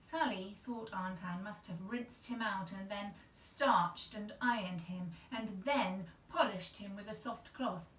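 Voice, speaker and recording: A woman's voice: medium pitch, slow, hardly any pauses, fairly bright tone. A woman in her thirties reading a children's story aloud as a storyteller. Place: small tiled-looking bathroom indoors. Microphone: camera a couple of metres away. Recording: echoey small room, distant mic.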